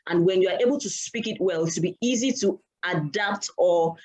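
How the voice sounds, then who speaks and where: A woman's voice: fairly low pitch, moderate pace, darkish tone, poor audio. A Nigerian woman whose first language is Yoruba, telling a story earnestly on a panel and stopping to search for words. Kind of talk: casual panel discussion.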